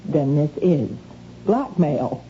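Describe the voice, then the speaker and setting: Guarded, indignant housewife for a radio drama, curt delivery, lo-fi recording with faint noise. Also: female voice, low-pitched, animated, takes it slowly, mellow. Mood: anxious, guarded. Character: wary, defensive housewife with a guilty secret. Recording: dull, noisy lo-fi radio recording.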